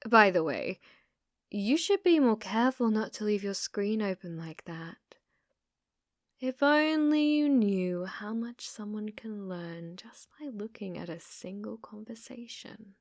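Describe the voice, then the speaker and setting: Woman acting an obsessive British stalker girl, unhurried and intimate. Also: mid-range voice, expressive, no echo, clean studio sound. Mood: sly, menacing. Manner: intimate, unhinged, swinging between whispers and intense pleading. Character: obsessive, delusional young woman stalker, sweetly menacing. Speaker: ASMR roleplay performer. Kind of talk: ASMR roleplay acting. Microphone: close ASMR microphone.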